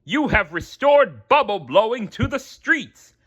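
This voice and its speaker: A grand storybook mayor, acted by a woman narrator, speaking in a raised, forceful voice. The delivery is formal and declamatory, full of ceremonial gratitude. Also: on the high side, sing-song, a little slow, slightly rough, dull audio, pitched above the performer's own voice, exaggerated voice. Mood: grandly grateful. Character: grand, grateful city mayor making proclamations.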